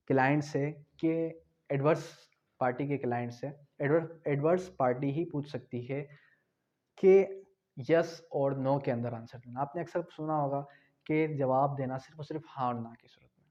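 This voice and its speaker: A man's voice: on the high side, moderate pace, a little mellow. A man teaching law for an exam, asking rhetorical questions and repeating key points. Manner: explains with rhetorical questions and repetition. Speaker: law tutor. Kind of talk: exam-prep law lecture. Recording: dull lo-fi recording.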